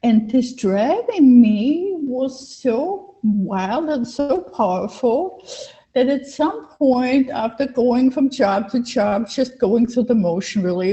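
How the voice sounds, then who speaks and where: Older female coach, Austrian accent, slightly high mellow voice, emphatic personal storytelling, dull lo-fi call recording. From Vienna, Austria. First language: German. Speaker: interview guest, life coach. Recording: dull, lo-fi online call audio.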